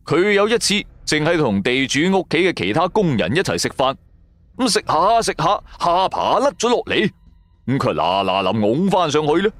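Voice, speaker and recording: A man's voice: mid-range voice, dry recording. A man narrating an audio drama as a colloquial, suspenseful storyteller. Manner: colloquial, suspenseful, rhetorical questions.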